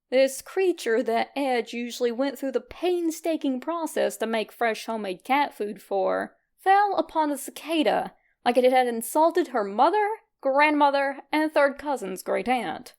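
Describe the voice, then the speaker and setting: A woman with a fairly high voice reads a fan fiction story aloud. She narrates from a script in an expressive storytelling style. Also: unhurried. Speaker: fan fiction podfic reader.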